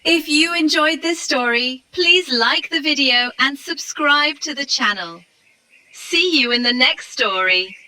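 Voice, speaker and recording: Very bright high-pitched woman announcer. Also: measured pace, no room sound, low-quality recording. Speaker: channel announcer. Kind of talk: scripted channel sign-off.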